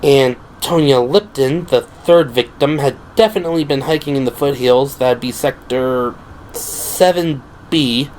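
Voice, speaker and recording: An earnest young policewoman in an audio drama, acted out by a man, with a raised pitch. The delivery is composed, measured and analytical, and steady noise sits behind the voice. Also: lightly acted, rough recording. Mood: composed, reporting.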